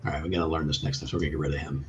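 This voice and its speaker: A man narrating a tabletop game as game master in a halting way, thinking aloud, on an online call. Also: extremely low pitch, steady tone, a little hiss or hum.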